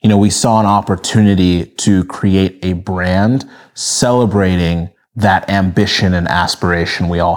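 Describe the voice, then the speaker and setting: A man in his thirties from Nigeria, raised in Minnesota, answering interview questions in a relaxed, steady storytelling way. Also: deep voice. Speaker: guest, media brand co-founder.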